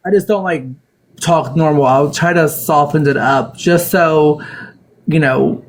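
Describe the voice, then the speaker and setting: A woman's voice: sits low, measured pace, slight background noise. A woman hosting a casual live chat who asks long, rambling questions and talks encouragingly to her guest. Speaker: host of a live panel.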